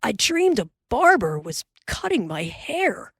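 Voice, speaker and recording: A woman's voice: sing-song, slow, creaky, a bit bright, husky, no echo, studio quality, exaggerated voice. Woman reading as a good-humored pioneer father. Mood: earnest. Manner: low, plain, earnest.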